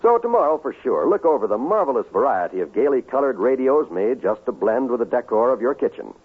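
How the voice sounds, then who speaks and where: An American man announcing and reading a scripted sales pitch in 1950s radio style. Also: sing-song, a little fast, breathy edge. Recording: muffled 1950s radio recording, faint music.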